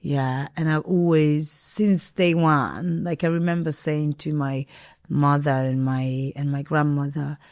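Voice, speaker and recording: A woman from northern Italy with a very low voice speaks as an interview guest. She is reflective and unhurried, often pausing on an um before she goes on. The sound is dry and close. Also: fairly even intonation, a little mellow, no echo. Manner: reflective, unhurried, with frequent ums. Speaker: podcast guest. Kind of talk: interview conversation.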